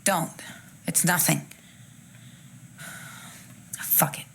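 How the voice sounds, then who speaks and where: An actress playing a brash, bitter, foul-mouthed Cuban smuggler in a video game, blunt and sardonic, with a Cuban accent. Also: female voice, drawn-out words, fairly bright tone, a little hiss or hum. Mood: bitter, irritated. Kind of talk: video game cutscene acting.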